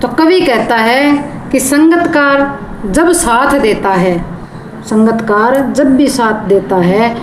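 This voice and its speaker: Slow-paced woman teacher, echoey room. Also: on the high side. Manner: repeats and rephrases, glossing words. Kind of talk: explaining a poem line by line. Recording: echoey room with background noise.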